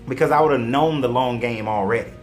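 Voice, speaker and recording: A man's voice: mid-range voice, fast talker, faint music behind. A man in his forties giving an emphatic, preachy motivational monologue, talking straight to his viewers. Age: 40s. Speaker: online commentator and life coach.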